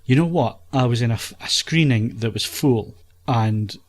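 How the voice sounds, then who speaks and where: A man's voice: medium pitch, moderate pace. A man reviewing films in a casual podcast chat, thinking aloud in a hesitant way.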